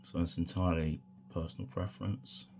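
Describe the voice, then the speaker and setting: A man with a croaky voice from a sore throat, talking through a hobby tutorial in a relaxed, rambling way with many fillers. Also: low-pitched, brisk, a little hiss or hum. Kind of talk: casual hands-on tutorial.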